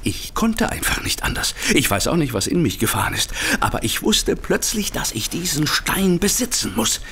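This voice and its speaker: An agitated, guilt-ridden thief, swinging pitch. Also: male voice, sing-song, creaky, pushed and bright, slight background noise, exaggerated voice. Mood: confessing, shaken. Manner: agitated, pleading, halting. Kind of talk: German audio drama acting.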